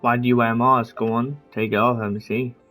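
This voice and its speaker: A man's voice: mid-range voice, flat delivery, fairly quick, sharp tone. A man streaming a video game, chatting casually and reading dialogue aloud as he plays. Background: game music.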